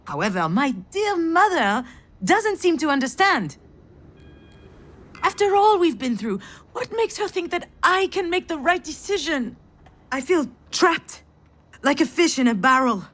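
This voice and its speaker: An actress playing a bitter, overwhelmed daughter in a visual novel, with a sardonic, dramatic delivery. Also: female voice, high-pitched, sing-song, unhurried, a bit bright, exaggerated voice. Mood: exasperated. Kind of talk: visual novel voice acting with inner monologue.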